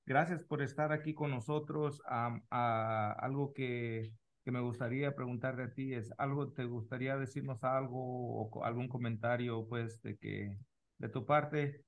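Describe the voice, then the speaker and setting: A man's voice: medium pitch, steady tone, a little slow. A man hosting a casual podcast conversation, talking in an informal, joking and rambling way with English words mixed in. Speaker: podcast host.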